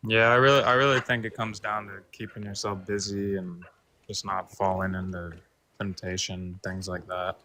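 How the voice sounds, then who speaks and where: A man in his twenties calling in, speaking haltingly and softly with lots of fillers. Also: lowish voice, little pitch movement. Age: 20s. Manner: halting, soft-spoken, many fillers. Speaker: caller. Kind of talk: casual call-in talk.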